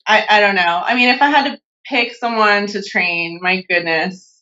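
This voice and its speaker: A young woman from California talks casually, her bright, forceful tone peppered with fillers and little restarts. The podcast audio is dull and somewhat lo-fi. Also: medium pitch, normal speed. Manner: chatty, many fillers and restarts. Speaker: podcast guest, natural bodybuilder. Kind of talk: casual interview chat.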